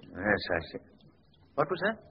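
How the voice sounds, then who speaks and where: A male actor playing an urbane, urgent gentleman sleuth in an old-time radio drama. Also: on the low side, wildly swooping pitch, normal speed, vocal fry, exaggerated voice. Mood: urgent, wry. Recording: muffled, noisy old radio recording.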